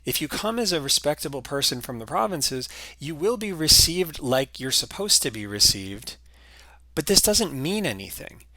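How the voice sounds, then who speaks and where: A man's voice: a little high, big pitch swings, moderate pace, bright, forceful tone, no echo. A man giving a recorded history lecture, explaining patiently with some fillers and restarts.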